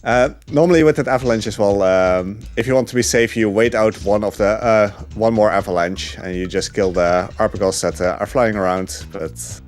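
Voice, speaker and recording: A man commentating on a speedrun from his couch at home, chatty and relaxed with lots of fillers. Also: fairly low pitch, moderate pace, hardly any pauses, faint music behind. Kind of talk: casual gameplay commentary. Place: home living room couch.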